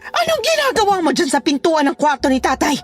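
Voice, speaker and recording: A woman's voice: extremely high pitch, sing-song, brisk, soft background music. An actress playing a bossy, secretive, short-tempered female employer with a curt, commanding manner in a horror audio drama. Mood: suspicious.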